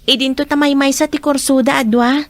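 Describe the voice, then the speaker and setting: A college-age daughter in a radio drama. Her voice is high and the recording is dry. She comes across as dutiful and affectionate. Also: fairly even intonation, brisk, no echo. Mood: earnest.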